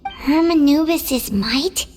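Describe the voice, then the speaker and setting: A woman voicing a small, inquisitive fairy companion in a video game, with a squeaky, childlike voice. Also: takes it slowly, darkish tone, big-room sound, faint music behind. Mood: puzzled. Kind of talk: cartoonish video game voice acting.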